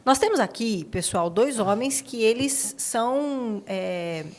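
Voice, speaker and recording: A woman's voice: a little high, expressive, takes it slowly. A woman with a Brazilian accent hosting a conversational interview, posing reflective questions with emphasis. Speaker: podcast host, interviewer.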